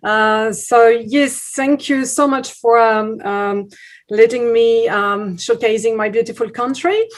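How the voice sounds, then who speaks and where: Woman, French accent, tourism rep, webinar guest, friendly, halting. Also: fairly high pitch, steady tone. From France. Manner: friendly, hesitant with fillers. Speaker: tourism representative. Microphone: video call.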